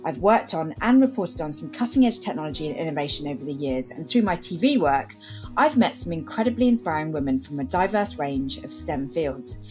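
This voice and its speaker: A woman hosting a podcast, reading a scripted introduction and then chatting with her guest, enthusiastic and quick to laugh. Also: deep voice, expressive, a little mellow, soft background music, low-quality recording. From half Sri Lankan, half Malaysian. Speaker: podcast host.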